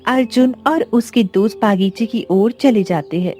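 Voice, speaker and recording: Woman narrator with a bright-leaning soft mellow delivery. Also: on the high side, moderate pace. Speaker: story narrator. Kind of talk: scripted narration of an animated moral story. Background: background music.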